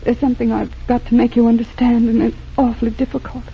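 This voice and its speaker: Radio play lead: earnest, lovelorn young woman, halting emotional delivery, slightly rough voice, narrow vintage broadcast sound. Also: fairly high pitch, flat delivery, exaggerated voice. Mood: anguished, sincere. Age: young adult. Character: earnest young woman torn by love, anguished. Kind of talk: 1940s radio drama acting. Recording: muffled, tinny old radio broadcast.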